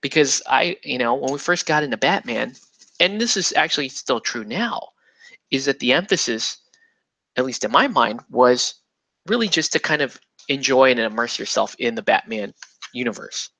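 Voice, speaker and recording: A man's voice: medium pitch, lively intonation, moderate pace, bright, forceful tone, dry recording, rough recording. A man hosting a miniatures hobby show, chatting casually while he builds models, rambling and joking with lots of fillers. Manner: breaks into laughter and puts on silly voices.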